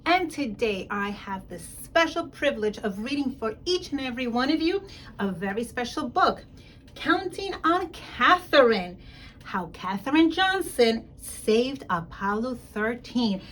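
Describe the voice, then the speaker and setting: A woman reading a children's story aloud in an expressive, emphatic way, speaking directly to young listeners as a school principal would. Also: fairly high pitch, measured pace, slight background noise.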